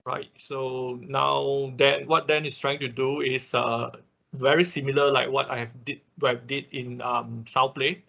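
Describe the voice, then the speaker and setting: A man with a bright, forceful voice teaches an online class in a casual, step-by-step way. He keeps adding little confirming tags as he explains. The sound is dull, lo-fi call audio. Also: mid-range voice, level tone, a little slow, vocal fry. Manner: frequent confirming tags like "right" and "okay". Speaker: instructor leading an online robotics class. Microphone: video call.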